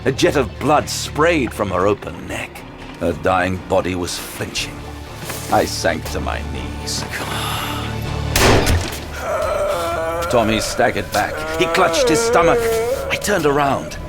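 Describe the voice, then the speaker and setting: An actor playing a haunted, wounded detective, narrating a grim horror audio drama with grave intensity. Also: male voice, lowish voice, huge pitch swings, measured pace. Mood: tense, grim. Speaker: narrator and lead character. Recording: loud music bed throughout.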